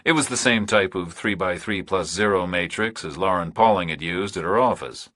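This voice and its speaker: A man reading scripted thriller narration as an audiobook narrator, in measured, terse phrases. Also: deep voice, a bit bright, dry recording, clean studio sound.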